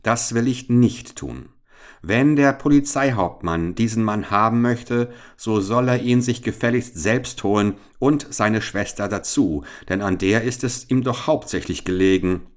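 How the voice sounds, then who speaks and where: A man's voice: mid-range voice, a little slow, voice pushed up, close to an everyday voice. A man reading aloud and voicing a cool-headed, sardonic teenage boy adventurer in a storybook tale. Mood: mocking. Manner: mocking, confident, emphatic.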